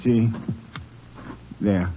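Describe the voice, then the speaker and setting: An actor playing a deferential, observant Mexican tracker with a Mexican Spanish accent in a 1950s radio western, speaking simple broken English. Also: male voice, on the low side, extremely slow. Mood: helpful, respectful. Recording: muffled, faintly noisy 1950s radio recording.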